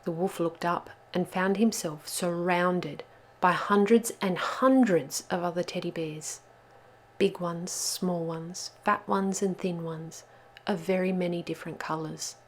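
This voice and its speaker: A woman narrating a children's picture book as a storyteller, in a deliberate and expressive manner. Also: lowish voice.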